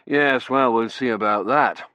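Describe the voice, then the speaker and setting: A man voicing a brusque, commanding male academic in an audiobook, brisk, authoritative and easily exasperated. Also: mid-range voice, sharp tone, dry recording, pitched above the performer's own voice. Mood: dry, firm.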